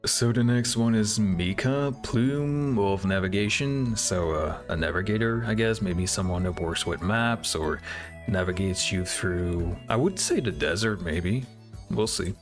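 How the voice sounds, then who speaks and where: Low-voiced man reacting casually over music. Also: expressive, a little slow. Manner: hesitant, thinking aloud in fragments. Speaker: reaction video host. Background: game trailer music.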